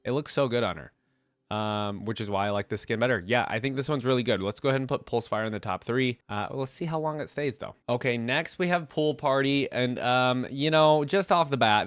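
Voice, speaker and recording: A man's voice: mid-range voice, dry recording. A man with a congested, head-cold voice giving casual reaction commentary as a video host, thinking aloud in informal slang.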